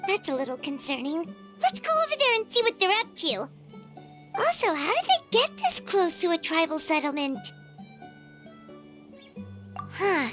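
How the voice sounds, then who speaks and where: A woman voicing a tiny, excitable, childlike fairy girl companion in a video game, with a squeaky, exclamatory delivery. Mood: wary. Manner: exclamatory, refers to self in third person. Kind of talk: animated video game voice acting. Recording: music behind the voice. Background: game music.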